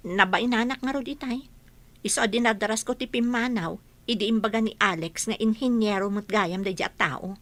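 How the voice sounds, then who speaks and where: Babai nga agak-akto a natadem timek na a balasang. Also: female voice, medium pitch, a little fast, fairly bright tone, natural voice. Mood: embarrassed, defensive. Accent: Ilocano Filipino. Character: embarrassed, defensive young woman who scolded a stranger. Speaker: actress in a radio drama. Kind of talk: Ilocano radio comedy drama acting.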